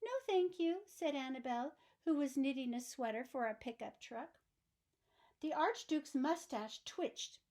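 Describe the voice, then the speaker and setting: A woman narrates a children's story in a high voice. Her pace is slow and deliberate. She reads expressively, with emphasis suited to young listeners. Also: a little mellow.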